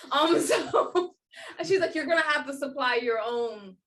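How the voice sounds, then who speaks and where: Young US woman, guest author, playful storyteller, slightly high voice. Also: lively intonation, measured pace, a bit bright. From United States. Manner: playful storytelling, self-mocking jokes. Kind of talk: casual interview chat.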